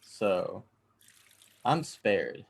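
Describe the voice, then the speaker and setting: A man's voice: lowish voice, sing-song, very slow, creaky, breathy edge, exaggerated voice. A man playing a smug, amused aristocrat lord in a dramatic script reading, with a wry, breezy manner.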